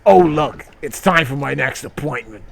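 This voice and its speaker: A man's voice: a little high, normal speed, vocal fry, a bit bright. A man voicing a smug, sleazy media boss with a pushy, smarmy manner, in a comic story reading. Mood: brash, smug.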